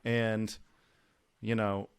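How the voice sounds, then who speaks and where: A man's voice: lowish voice, flat delivery, very slow, creaky, smooth. A man hosting a casual interview, chatty and self-deprecating, who laughs easily.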